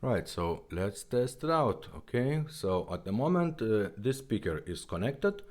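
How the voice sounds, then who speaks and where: A man who is not a native English speaker, giving a casual product demonstration in a halting, simple step-by-step way. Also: lowish voice, very animated, darkish tone. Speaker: presenter of a vintage car radio demonstration.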